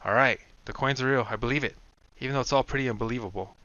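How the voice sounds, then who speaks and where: A man's voice: mid-range voice, fast, sharp tone, dry recording, lo-fi sound, pitched above the performer's own voice, close to an everyday voice. A man voicing Brian, an exasperated, sarcastic young man pulled into danger, grumbling in a wry way. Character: young man, bewildered, exasperated, reluctant hero.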